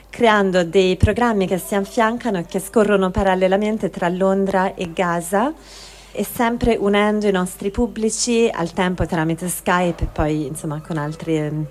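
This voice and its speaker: A woman presenting a theatre project in an earnest, deliberate way, as if introducing a reading. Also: medium pitch. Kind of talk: spoken introduction to a live reading.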